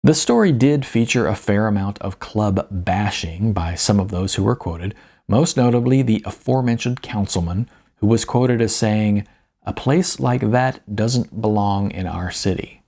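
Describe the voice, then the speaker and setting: A man with a fairly low voice and a strong gravelly creak reads his own fiction aloud. His delivery is wry and understated, somewhere between audiobook narration and informal talk to the listener. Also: a little slow, warm tone. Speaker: author reading his own novella. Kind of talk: first-person audiobook narration with chatty asides.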